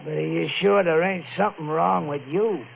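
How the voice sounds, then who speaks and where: An American actor playing a wary, blunt old hobo in a 1950s radio thriller, gravelly and folksy. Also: male voice, fairly high pitch, normal speed. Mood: ominous warning. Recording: muffled, slightly noisy 1950s radio recording.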